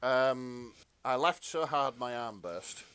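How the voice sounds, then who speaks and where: A man co-hosting a podcast, chatting casually and jokily in an animated, exclamatory way. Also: mid-range voice, takes it slowly, clear, resonant tone, dry recording. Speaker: podcast co-host. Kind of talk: casual comedic chat.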